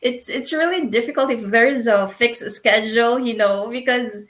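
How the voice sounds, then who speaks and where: A woman in her thirties from the Philippines, a guest in a casual interview, speaking haltingly with repeated words and frequent laughs. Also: a little high, fairly bright tone. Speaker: guest, business owner.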